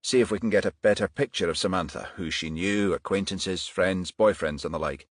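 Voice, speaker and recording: A man voicing a brisk, irritable detective in charge, clipped and commanding, in a crime audiobook. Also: low voice, no room sound, studio quality. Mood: purposeful.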